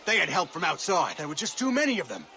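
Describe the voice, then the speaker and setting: A man's voice: on the high side, wildly swooping pitch, fast, gravelly, bright, forceful tone, exaggerated voice. A man playing a flustered, apologetic soldier in a video game, anxious and urgent. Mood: alarmed. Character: flustered, apologetic male soldier reporting defeat.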